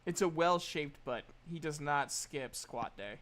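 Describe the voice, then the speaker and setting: Bright-voiced man running a tabletop game. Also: on the high side, animated, a little slow. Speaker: game master. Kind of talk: casual tabletop game narration.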